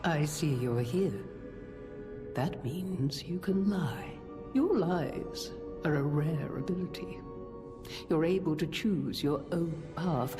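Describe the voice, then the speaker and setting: A woman playing a gracious, composed older hotel owner in a video game, measured and wry. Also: low-pitched. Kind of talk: video game character acting.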